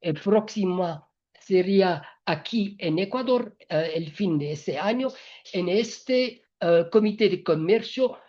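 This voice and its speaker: Non-native woman, panel speaker, slow and hesitant, muffled recording. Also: medium pitch, expressive, warm tone. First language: not Spanish. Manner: halting, many filler sounds and restarts, non-native grammar slips. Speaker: EU trade representative speaking at a business panel. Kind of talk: hesitant panel talk on trade policy.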